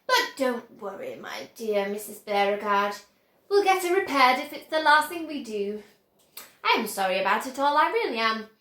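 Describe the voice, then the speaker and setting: A woman voicing a calm, eccentric man who runs a candy factory, speaking matter-of-factly in a storybook reading. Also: a little high, normal speed, pitched below the performer's own voice. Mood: calmly regretful. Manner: unbothered, matter-of-fact. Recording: roomy echo.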